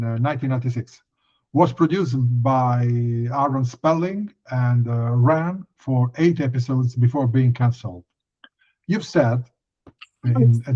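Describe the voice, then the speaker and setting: A man hosting a podcast interview, putting prepared questions in a halting, hesitant delivery. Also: mid-range voice, a little mellow, highs cut off.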